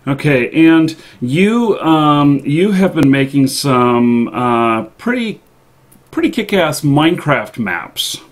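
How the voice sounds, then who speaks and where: A man's voice: on the high side, expressive, slow pace. Informal male stream host, thinking aloud. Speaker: live stream show host. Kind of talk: casual live-stream interview and chat.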